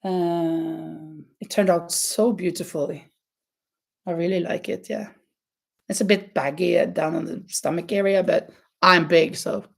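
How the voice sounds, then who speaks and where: A woman's voice: on the low side, steady tone, unhurried, creaky. A woman with a non-native English accent, hosting a casual crochet live stream and chatting with viewers. She talks loosely, laughs often and stops to search for words.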